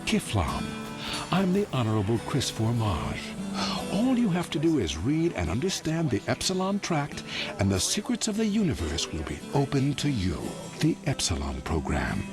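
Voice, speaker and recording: A man playing a pompous, preachy cult spokesman delivering a grandiose infomercial pitch. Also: huge pitch swings, normal speed. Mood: grand, solemn. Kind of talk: parody infomercial sales pitch. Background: music.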